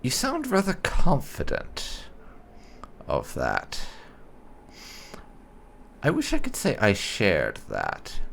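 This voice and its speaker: A man's voice: medium pitch, wildly swooping pitch, slow pace, gravelly, long pauses, a bit bright, a little hiss or hum, full character voice. A man voicing a blunt young female elf warrior in a game roleplay, speaking dryly in his own voice. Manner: blunt, dry.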